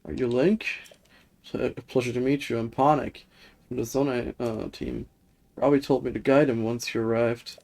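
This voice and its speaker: A man's voice: medium pitch, steady tone, moderate pace, vocal fry, a little mellow. A man voicing a busy, grumbly but helpful survey worker from a fantasy game, reading the dialogue aloud in a matter-of-fact way. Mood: polite, explaining.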